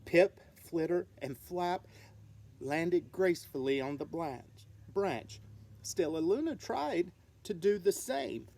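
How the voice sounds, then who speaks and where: A woman reading a children's story aloud with expressive, dramatic narration. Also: fairly low pitch, slow, vocal fry, slight background noise. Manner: expressive, dramatic delivery with sound words. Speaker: storybook reader.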